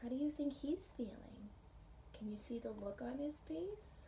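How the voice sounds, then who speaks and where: A woman preschool teacher reading a picture book aloud in a gentle, simple teacherly way, pausing to put questions to young listeners. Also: high-pitched, expressive. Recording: distant microphone, faint background noise.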